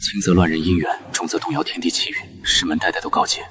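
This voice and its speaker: A man playing an aloof mystic fate master in a comic drama, solemn and deadpan. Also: deep voice, quick pace, breathy edge, exaggerated voice. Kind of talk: audio comic drama dialogue.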